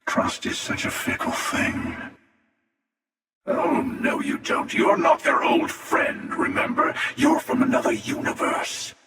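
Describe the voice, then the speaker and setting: An older man playing an ancient, sardonic and menacing starfaring hunter in a video game, with a gravelly, wry, taunting drawl. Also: very high-pitched, very animated, takes it slowly, sharp tone, distant mic, faint music behind, heavily acted. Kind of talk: scripted video game character dialogue. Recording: cavernous reverb, voice set back from mic.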